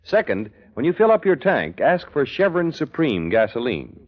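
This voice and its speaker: A man's voice: medium pitch, wildly swooping pitch, moderate pace. An American man announcing in polished, emphatic vintage radio style, reading scripted narration and sales copy. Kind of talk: 1940s-50s scripted radio announcing and commercial. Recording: muffled vintage radio broadcast.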